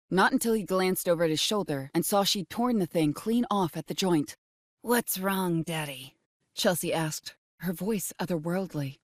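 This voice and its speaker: A woman's voice: on the low side, no echo, studio quality. A woman narrating a dramatic scripted horror audiobook.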